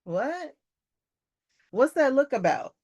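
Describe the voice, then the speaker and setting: A woman's voice: sits high, sing-song, takes it slowly, darkish tone, voice pushed up, heavily acted. A woman reading a storybook part as a nervous, inquisitive man on safari, pressing with questions. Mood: anxious, curious.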